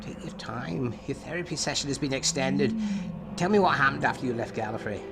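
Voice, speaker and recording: A man playing an enigmatic therapist in a fan audio drama, asking probing questions in a formal, measured, wry way. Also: medium pitch, vocal fry, background noise, close to an everyday voice. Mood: coolly prompting.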